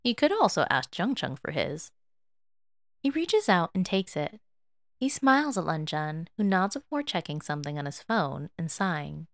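Expressive female narrator, dry close sound. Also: fairly low pitch, normal speed, dry recording. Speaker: podfic narrator. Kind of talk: expressive scripted story narration.

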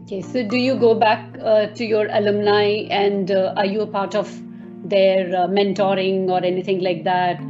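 A woman interviewer asks her questions in a friendly, easygoing way, her voice mid-pitched. The recording is muffled and lo-fi, with music clearly heard underneath. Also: little pitch movement, normal speed. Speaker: interviewer, podcast host. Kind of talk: conversational interview.

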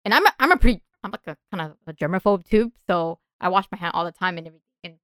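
Smooth-voiced woman chatting casually. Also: on the low side, lively intonation, racing, fairly bright tone, dry recording, clean studio sound. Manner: informal, giggly, self-deprecating. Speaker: podcast guest and group member. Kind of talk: casual chat.

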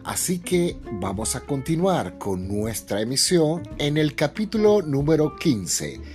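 Animated male radio soap narrator. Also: on the high side, measured pace, soft background music. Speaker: host and narrator. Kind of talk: scripted radio drama narration.

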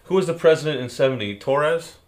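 A man's voice: fairly high pitch, animated, slight background noise. A young man hosting a casual interview, listening closely and dropping short replies. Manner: attentive, quick short back-channel replies. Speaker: podcast host.

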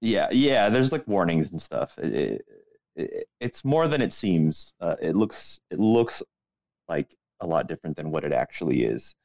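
A man's voice: low voice, sing-song, moderate pace, gravelly, a little mellow, no room sound. A man co-hosting a casual podcast in a laid-back, hesitant way, often hedging what he says. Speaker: podcast co-host.

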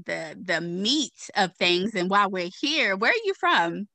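A woman's voice: medium pitch, very animated, unhurried, bright, forceful tone, dry recording. A young woman from Baltimore hosting a podcast interview in a casual, affirming way, laughing often. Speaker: podcast host.

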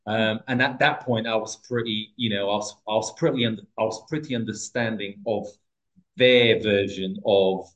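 A man's voice: on the low side, fairly even intonation, moderate pace, a bit bright, lo-fi sound. A man from Portugal in his thirties, a podcast guest telling his life story in a casual, hesitant way with many restarts and fillers.

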